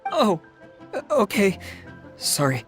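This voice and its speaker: Nervous, meticulous male bureaucrat for a game: halting, hesitant, awkward, over clear background music. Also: sits high, wildly swooping pitch, full character voice. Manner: halting, hesitant, fussily precise. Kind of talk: anime-style game voice acting. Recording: music behind voice.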